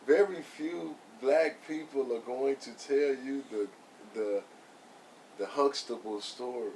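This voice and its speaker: A man talking as a guest on a casual podcast, measured and hesitant, repeating words as he works out his thought. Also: mid-range voice, a little mellow, a little hiss or hum, low-quality recording. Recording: voice from away from the mic.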